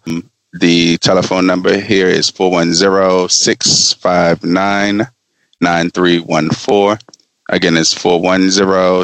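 A man's voice: sits low, a bit bright, no room sound, low-quality recording. A man giving an informal spoken rundown as a staff representative, explaining patiently and repeating himself. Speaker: staff representative of a market selling aids for blind people.

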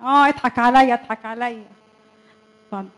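A young woman with an Egyptian accent playing a jealous, suspicious wife in a church radio comedy-drama, talking in a sharp, needling way. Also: fairly high pitch, fairly even intonation, close to an everyday voice. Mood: suspicious muttering. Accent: Egyptian Arabic. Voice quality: sharp, needling, quick to accuse.

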